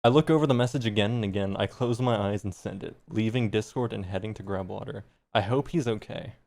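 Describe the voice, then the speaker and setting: A man's voice: lowish voice, brisk, creaky, darkish tone. A man co-reading fan fiction on a stream, narrating with casual asides. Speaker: co-reader on a stream.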